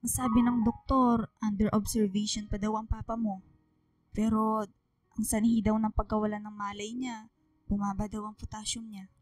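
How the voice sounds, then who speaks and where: A woman's voice: mid-range voice, level tone, normal speed, no room sound, faint music behind, lightly acted. A woman playing a worried, caring middle-aged mother with a Filipino accent in a radio drama, grave and emotional.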